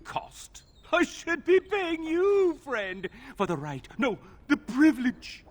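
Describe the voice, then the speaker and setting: An actor playing an excitable, temperamental perfectionist armorsmith in a fantasy video game, theatrical and fussy. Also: male voice, extremely high pitch, slow, heavily acted. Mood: awed, eager. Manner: theatrical, fussy, gushing and petulant.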